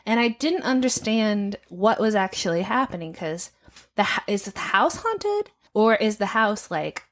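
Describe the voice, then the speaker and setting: Woman with mid-pitched voice, puzzling aloud. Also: big pitch swings, unhurried. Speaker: podcast co-host. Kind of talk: casual film review chat.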